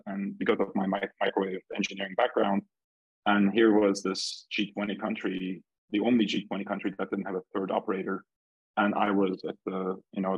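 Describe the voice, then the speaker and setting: Low, husky man from Turkey, echoey audio. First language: Turkish. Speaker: podcast guest, startup investor and entrepreneur. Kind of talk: casual conversational storytelling. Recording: echoey, dull lo-fi call audio.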